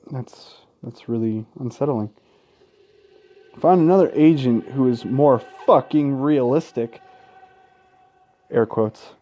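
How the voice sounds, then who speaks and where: A man doing gaming commentary, reading a visual novel aloud with casual, sweary asides and an expressive, dramatic delivery. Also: mid-range voice, muffled recording. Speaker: let's play host. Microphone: close gaming microphone.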